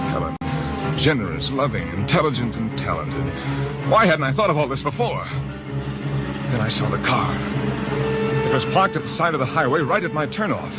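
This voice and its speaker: Actor as anguished radio-drama husband, dramatic swings. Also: male voice, mid-range voice, lightly acted. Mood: anguished, pleading. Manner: halting, stammering, overwrought delivery. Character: guilt-ridden anxious husband narrating his own crime tale. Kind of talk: old-time radio drama acting, first-person confessional narration. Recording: old radio broadcast with music bed.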